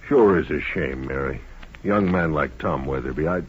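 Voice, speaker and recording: An actor playing a slow, puzzled small-town sheriff in a radio western, with a folksy, halting drawl. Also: male voice, very deep voice. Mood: somber. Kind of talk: 1950s radio western acting. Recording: dull, noisy old radio recording.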